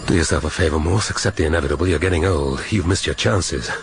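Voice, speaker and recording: Ageing grumpy inspector, low voice, impatient, radio play, bit of noise behind him. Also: male voice, quick pace. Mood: weary, brooding. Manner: musing and abrupt, swinging from muttering to excited outbursts. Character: ageing, brooding, impatient male detective inspector. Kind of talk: radio drama acting.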